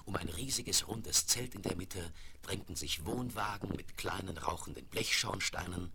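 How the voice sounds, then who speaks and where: A man's voice: low-pitched, moderate pace, vocal fry. A man playing the storyteller of a children's radio play, reading scripted narration. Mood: narrating. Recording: crackly 1970s vinyl record rip.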